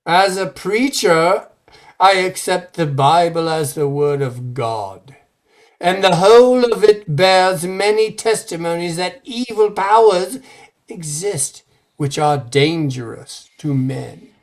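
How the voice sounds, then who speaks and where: Grave, slow-spoken village preacher voiced by a man for an old-time radio play. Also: high-pitched, animated, full character voice. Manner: grave, measured, formal. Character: grave older male village preacher, solemn.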